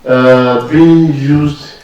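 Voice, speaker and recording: A Yoruba-speaking man with a Nigerian accent, giving an emphatic, deliberate public address at a workshop. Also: mid-range voice, steady tone, darkish tone, dull audio. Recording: very echoey room, distant mic.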